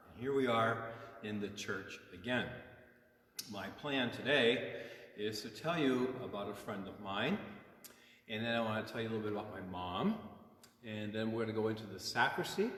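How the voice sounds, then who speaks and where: Older man, low voice in an echoey church. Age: 60s. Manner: gentle, simple explaining with check-in questions. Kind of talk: informal children's storytime talk. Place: inside a church. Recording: echoey, long reverb of a church.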